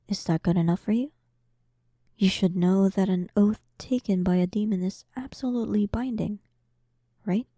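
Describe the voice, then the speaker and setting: A woman playing a powerful demon queen in an audio roleplay, dominant, sarcastic and playfully condescending, often dropping into breathy half-whispers. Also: medium pitch, dark tone, no echo, natural voice. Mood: taunting, coaxing. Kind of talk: scripted audio roleplay addressed to the listener.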